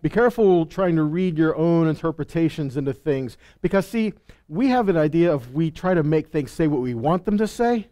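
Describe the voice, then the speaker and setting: A man in his thirties teaches scripture from a stage, with a fairly high voice. He talks casually, often asks his listeners questions and acts out the people he quotes. He speaks into a headset mic. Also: lively intonation, moderate pace, dark tone. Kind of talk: Bible teaching. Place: stage with purple lights.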